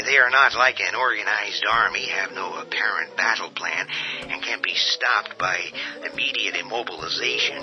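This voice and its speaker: Man voicing hushed halting president, radio broadcast, low voice, dull lo-fi sound. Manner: hushed, hesitant, many "uh" pauses. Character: halting, grave male president addressing the nation. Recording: dull lo-fi audio with faint music.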